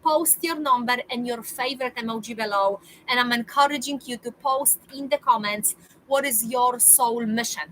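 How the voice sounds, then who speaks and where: Woman, 40s, spiritual coach, high and bright, emphatic and repetitive delivery. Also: moderate pace, a little hiss or hum, low-quality recording. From Poland. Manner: emphatic, repeats phrases for urging. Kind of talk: motivational monologue to viewers.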